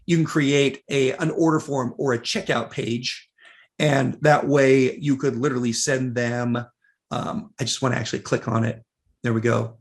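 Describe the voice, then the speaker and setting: Male webinar presenter, mid pitch, raspy, gravelly, voice fading. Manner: casual, many fillers, reads out viewer questions. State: losing his voice, clearing throat. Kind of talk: live software webinar walkthrough.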